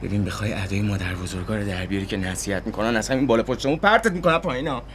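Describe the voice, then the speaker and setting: An actor playing a desperate young man on the run after a killing, talking low and bitter, then shouting in anger. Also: noisy background, lightly acted. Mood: hushed tense. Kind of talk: TV drama acting.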